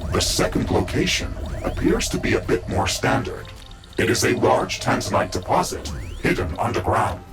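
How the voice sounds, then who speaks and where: A man playing a calm, dutiful mecha suit AI in a video game, speaking formally and with measure through a robotic filter. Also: low voice, moderate pace, music in the background. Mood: informative. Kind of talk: scripted video game dialogue.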